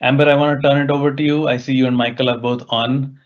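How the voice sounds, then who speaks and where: Male webinar host, mid-pitched, conversational delivery, heard on dull compressed call audio. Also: little pitch movement, fast talker. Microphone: online meeting microphone.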